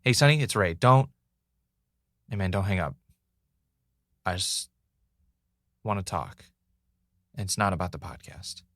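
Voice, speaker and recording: A man playing a brooding, paranoid podcaster in an audio drama, speaking in a halting, introspective, confessional way. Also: deep voice, expressive, fairly bright tone, no echo, clean studio sound. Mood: anxious, pleading. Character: brooding, paranoid male podcaster searching for answers. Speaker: podcast host character and narrator. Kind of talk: audio drama monologue and dialogue.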